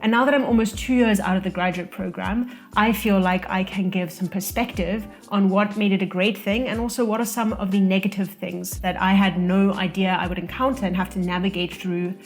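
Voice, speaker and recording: Young south african woman, relaxed conversational talk, faint music behind. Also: mid-range voice, brisk, hardly any pauses. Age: young adult. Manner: relaxed, conversational, speaks from own experience. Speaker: vlogger sharing career advice. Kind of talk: casual talking-to-camera advice. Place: indoor room, losing daylight.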